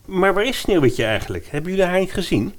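A man's voice: sits high, very animated, fast. A man playing a protective young personal trainer in a radio play. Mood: firm, indignant. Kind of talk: Dutch radio play acting.

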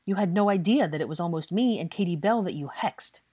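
A woman's voice: fairly low pitch, expressive, brisk, mellow, husky, no room sound, clean studio sound, pitched above the performer's own voice. A woman voicing a bitter, angry young man who scolds heatedly in an audiobook fantasy story. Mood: scolding. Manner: heated, scolding, British swearing. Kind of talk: audiobook character dialogue.

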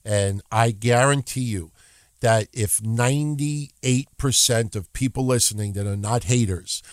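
A man's voice: mid-range voice, unhurried, dry recording. A man co-hosting a podcast, ranting in casual chat and swearing often. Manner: profane, ranting, animated. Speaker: podcast co-host.

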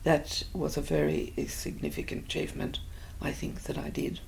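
Older Australian woman, low and gravelly. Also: little pitch movement, moderate pace, darkish tone, low-quality recording. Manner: reflective, hesitant with many fillers. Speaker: interviewee. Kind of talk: oral history interview.

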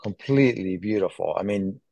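A man in his forties, born in Greece, speaks with a fairly low voice. He talks casually as an interview guest, stopping often on fillers and restarting sentences. The sound is close and dry. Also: dark tone, dry recording. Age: 40s. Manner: halting, many fillers and restarts.

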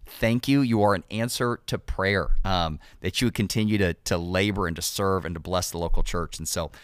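A man's voice: sits low, normal speed, clean tone, dry recording, clean studio sound. A male podcast host and pastor in casual conversation, encouraging listeners in a sermon-like cadence.

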